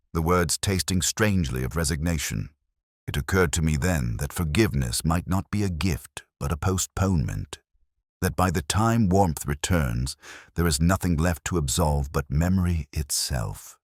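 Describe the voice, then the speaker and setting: A man reading scripted first-person narration for a detective mystery in a measured, literary, reflective manner. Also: a bass voice, breathy edge, no room sound, studio quality.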